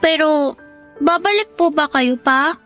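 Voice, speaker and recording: A woman's voice: high-pitched, slow, fairly bright tone, faint music behind. A woman playing a wistful, respectful young Filipino daughter who misses her father, speaking plaintively and politely in a radio drama. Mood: wistful, hopeful.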